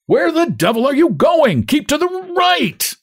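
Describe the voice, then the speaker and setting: A man voicing an angry, indignant, cursing coachman in a storybook, shouting abuse. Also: very high-pitched, huge pitch swings, measured pace, voice pushed up, exaggerated voice.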